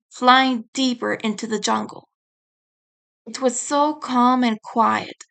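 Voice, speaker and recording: A woman reads a fantasy story aloud as its narrator. Her voice is high and her delivery is slow, in a scripted storybook style. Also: a bit bright.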